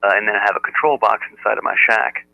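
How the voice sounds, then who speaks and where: A man chatting casually over amateur radio, explaining technical things in a halting, methodical way. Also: fairly low pitch, creaky, no echo. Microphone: radio transceiver audio output. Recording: narrow muffled radio audio, dry.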